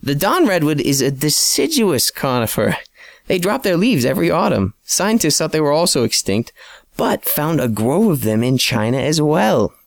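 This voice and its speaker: Man putting on an eager bookish sidekick, higher voice, a bit off mic. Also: gravelly, no echo, clean studio sound, heavily acted. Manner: explanatory, eager.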